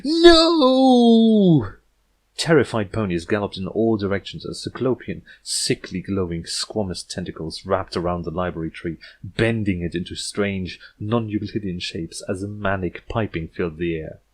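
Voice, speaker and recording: Low-voiced man narrating a story. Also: moderate pace, husky. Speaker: audiobook narrator.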